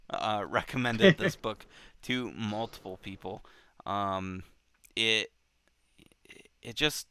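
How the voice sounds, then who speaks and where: A man hosting a book club show, talking casually and unscripted in a halting way, often repeating himself. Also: on the low side, sharp tone, smooth.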